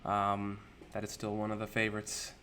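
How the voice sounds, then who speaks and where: A man's voice: on the low side, completely flat delivery, normal speed, bright, forceful tone, a little hiss or hum. Easygoing young man reading chat. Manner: easygoing, reads out viewer names and comments. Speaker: live stream host reading chat. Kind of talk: casual live stream chat. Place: indoor room with a virtual city backdrop.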